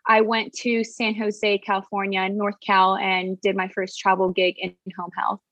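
A woman's voice: mid-range voice, flat delivery, moderate pace, fairly bright tone, no room sound. A woman in her thirties from Venezuela, a physical therapist talking through a video call in a chatty way, full of fillers and restarts. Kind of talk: casual video-call interview.

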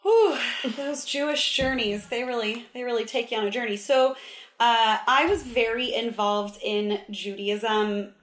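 A woman's voice: a little high, a little slow, a bit bright. A young woman from Southern California, chatting playfully as an interview guest about her life. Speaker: guest, Hillel director of engagement. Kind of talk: casual interview. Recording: echoey room.

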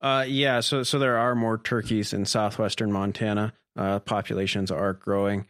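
A young man, a researcher being interviewed, explaining things informally in a hesitant, careful, measured way. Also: lowish voice, little pitch movement, fairly bright tone, no room sound, clean studio sound. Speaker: graduate student researcher, interview guest.

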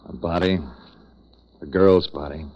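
An actor playing a grudge-bearing homicide detective in a 1940s radio drama, dry and taunting. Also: male voice, low voice, steady tone, a little slow. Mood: sarcastic, needling. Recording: muffled 1940s radio recording.

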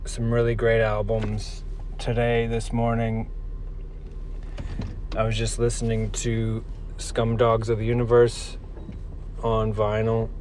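A forty-year-old man rambling casually and haltingly as he muses aloud while driving. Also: lowish voice, monotone, a little mellow. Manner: halting, reflective, musing aloud. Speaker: vlogger, musician. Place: inside a moving car. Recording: noisy car interior with road noise.

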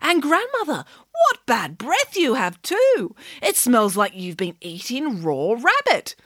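A woman reading a storybook voices a bold young piglet girl in a high, bossy, lecturing voice. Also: wildly swooping pitch, slow pace, fairly bright tone, dry recording, studio quality, heavily acted.

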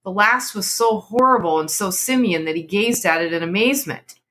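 Bright-voiced woman reading a dramatic tale. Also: medium pitch, normal speed. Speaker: podcast host reading a story aloud. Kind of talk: dramatic audiobook-style narration of a classic horror tale.

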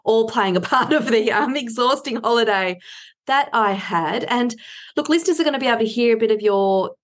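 A woman's voice: fairly high pitch, brisk. Chatty middle-aged woman hosting a podcast. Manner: chatty, frequent affirming interjections. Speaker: podcast host. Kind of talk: casual podcast interview.

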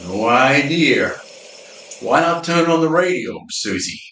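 A cocky, blundering radio detective played by a man, breezy and a little hokey. His mid-pitched voice swings up and down, heard from a step back in an echoey, noisy recording. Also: sing-song, slow pace. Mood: wry. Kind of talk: amateur old-time radio play acting. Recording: echoey, distant, noisy with mechanical rattle.

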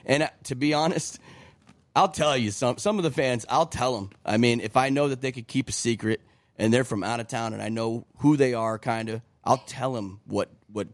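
A man's voice: medium pitch, moderate pace, dry recording. A man in a band, talking in a wry, chatty way on a podcast. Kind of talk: casual podcast chat.

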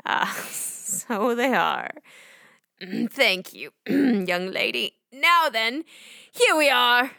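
An actress playing an absent-minded, eccentric old woman in a children's audio drama, muttering theatrically and haltingly. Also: on the high side, animated, vocal fry, sharp tone, dry recording, studio quality, heavily acted. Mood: pleased.

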